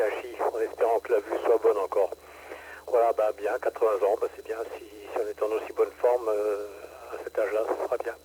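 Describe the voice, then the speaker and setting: A man takes his turn on a ham radio net, short and to the point, full of call signs and jargon. His voice is mid-pitched and carried over a hissing radio link. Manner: brief, uses radio jargon. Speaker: radio amateur calling in. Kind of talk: casual on-air chat. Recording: noisy radio transmission.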